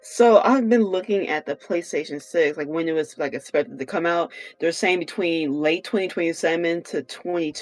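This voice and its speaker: A woman co-hosting a podcast in a loose, conversational way. Her voice sits in an ordinary range, with soft music playing underneath. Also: lowish voice, normal speed, hardly any pauses, no echo, poor audio. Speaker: podcast co-host and game streamer. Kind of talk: casual unscripted podcast chat.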